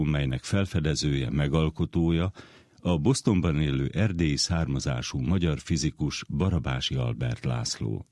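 A man's voice: extremely low pitch, a little mellow, no room sound, clean studio sound. A man hosting a radio science program, reading scripted narration. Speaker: radio science show host.

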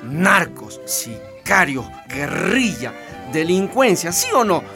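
An actor playing a brash, gossipy Ecuadorian macho in a radio soap, with slangy, cajoling speech and an Ecuadorian accent. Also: male voice, fairly high pitch, big pitch swings, slow, music in the background, heavily acted. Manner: slangy, cajoling, tag questions. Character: brash, gossipy macho Ecuadorian buddy, teasing and sweet-talking. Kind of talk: radio soap opera acting.

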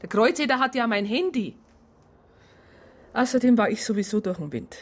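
A woman's voice: a little high, lively intonation, moderate pace. An actress playing an earnest, aggrieved woman who narrates events in a conversational, detailed way with a southern German accent. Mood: exasperated recounting. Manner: conversational, detailed, exasperated storytelling. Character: earnest, aggrieved female doctor recounting a police case. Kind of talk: first-person radio drama narration.

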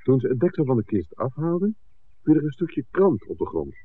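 An actor playing an earnest, persistent visitor looking into village secrets in a 1960s Dutch radio drama, polite and articulate. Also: male voice, medium pitch, very animated, brisk, husky. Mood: earnest, factual. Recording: thin, phone-like old radio recording.

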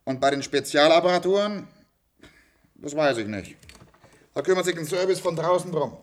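A man's voice: fairly high pitch, expressive, moderate pace, natural voice. An actor playing a chummy, nosy handyman in a German science-fiction radio drama, talking in a blunt, colloquial way. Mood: matter-of-fact. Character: chummy, nosy institute handyman, matter-of-fact working man.

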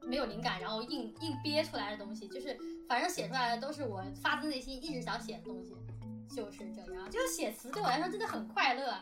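A woman chatting casually and answering questions in an animated, giggly, talkative way. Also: a little high, quick pace. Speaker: audio drama planner, lyricist and songwriter. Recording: distant mic at times, music behind. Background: music.

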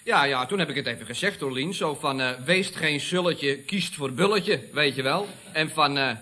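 Cocky, bright-voiced young nephew. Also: male voice, a little high, moderate pace, a little hiss or hum. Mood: glib, mocking. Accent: Netherlands Dutch. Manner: glib, breezy wisecracking. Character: cocky, flippant young nephew at the family firm. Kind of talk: Dutch radio comedy acting.

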